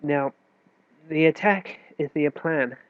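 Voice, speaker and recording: A man's voice: on the high side, slow, no room sound. Nasal man with a cold, tinny recording. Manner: loose, self-correcting explanation with fillers. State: stuffy with a cold. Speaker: chess teacher. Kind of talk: casual chess tutorial explanation. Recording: tinny, dry phone-like recording.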